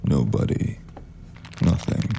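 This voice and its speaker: A man playing a brooding, mysterious noir storyteller, narrating in ominous, measured, terse phrases. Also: noisy background, natural voice. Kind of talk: slow noir scripted narration.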